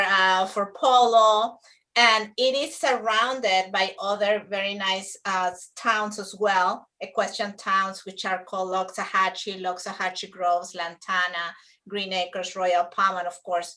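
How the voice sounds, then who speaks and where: A woman's voice: medium pitch, pushed and bright. A woman from Peru, a realtor guest, explaining her area in casual interview chat with many fillers and restarts.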